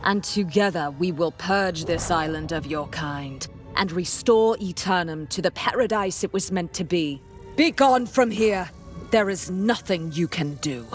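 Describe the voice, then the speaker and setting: An actress playing a bitter ancient guardian spirit of nature who despises humanity, with solemn, accusatory, theatrical delivery in a fantasy game. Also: female voice, on the low side, a little slow, soft background music, natural voice. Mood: menacing. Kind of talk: dramatic scripted game villain dialogue.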